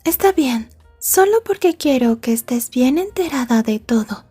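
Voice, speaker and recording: A woman playing a possessive, polite young yandere girlfriend in an ASMR roleplay, speaking in a prim, wordy and softly menacing way. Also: high-pitched, lively intonation, measured pace, breathy edge, faint music behind, full character voice. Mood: prim, jealous. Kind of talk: Spanish-language ASMR roleplay acting.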